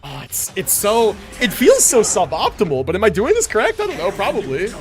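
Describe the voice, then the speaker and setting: Male game streamer, higher-pitched and very expressive, slangy and sweary, thinking aloud over music. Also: fairly quick. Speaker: game livestreamer. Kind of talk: casual live gameplay commentary.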